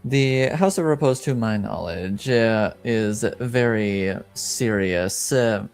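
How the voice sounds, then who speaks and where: A man playing a slow, guarded, formal fantasy informant. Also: on the low side, a little mellow, clean tone, faint music behind. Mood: cautious, formal. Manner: measured, halting, formal diction. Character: formal, guarded male informant reporting intrigue in a fantasy world. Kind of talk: tabletop roleplay, improvised in character.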